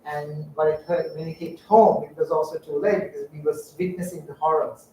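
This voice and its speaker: A middle-aged man from Sri Lanka telling personal stories in a public talk, halting and reflective with frequent fillers. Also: fairly high pitch, steady tone, highs cut off, slight background noise. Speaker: guest speaker. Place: lecture room. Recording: echoey room, distant mic.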